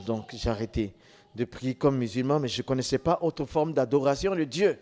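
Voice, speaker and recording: A man's voice: mid-range voice, moderate pace, a little mellow. A middle-aged man giving an emphatic testimony as a preacher, repeating words for stress. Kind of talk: sermon testimony. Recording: long reverb of a church hall.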